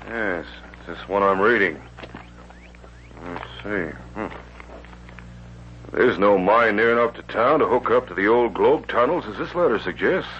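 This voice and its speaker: An actor playing a cautious, practical middle-aged sheriff in a radio western, plain-spoken and folksy. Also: male voice, fairly low pitch, lively intonation, unhurried, pauses often. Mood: wary, puzzled. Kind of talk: 1950s radio western acting. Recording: hissy, dull 1950s radio recording.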